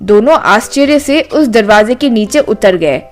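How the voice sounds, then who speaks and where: A woman's voice: a little high, lively intonation, measured pace, soft background music. A woman narrating a scripted children's story.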